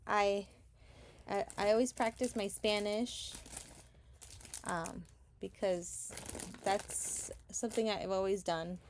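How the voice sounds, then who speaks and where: A woman's voice: medium pitch, fairly even intonation, slow pace, long pauses, slight background noise. A woman who was born in Chile, chatting casually during a live selling stream, rambling a little and thanking buyers. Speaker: live seller. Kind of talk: casual livestream chat. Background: crinkling sticker packs.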